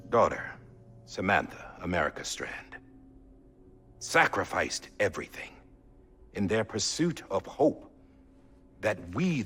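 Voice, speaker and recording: An actor playing a solemn middle-aged male president delivering a measured, oratorical speech in a video game cutscene. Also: lowish voice, a bit bright, husky, heavily acted.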